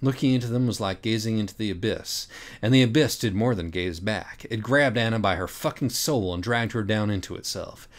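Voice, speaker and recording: Low-pitched man reading with mock solemnity. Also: brisk. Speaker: audiobook reader. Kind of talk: dramatic, profane comic narration of a parody children's book.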